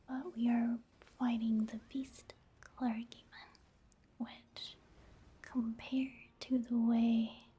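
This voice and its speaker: A woman giving soft-spoken, whispered ASMR commentary over video game play, in a gentle, casual and unhurried manner. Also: on the high side, husky.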